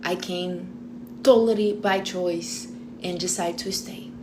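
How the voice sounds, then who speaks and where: A woman's voice: lowish voice, steady tone, takes it slowly, noisy background. Chatty Brazilian-accented woman. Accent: Brazilian Portuguese. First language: Portuguese. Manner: chatty, talks while eating, restarts often. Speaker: vlogger. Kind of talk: casual chat to camera.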